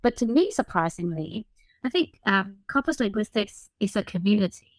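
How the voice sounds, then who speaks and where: A woman's voice: medium pitch, expressive, normal speed, no room sound, rough recording. A woman from Hong Kong, an assistant professor, answering interview questions in a reflective way with many "like" and "um" fillers. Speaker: assistant professor, podcast guest.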